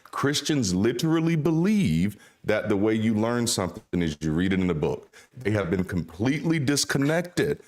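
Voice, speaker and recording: A man's voice: lowish voice, sing-song, normal speed, few pauses, darkish tone. A man co-hosting a casual live-stream chat, ranting bluntly and with exasperation.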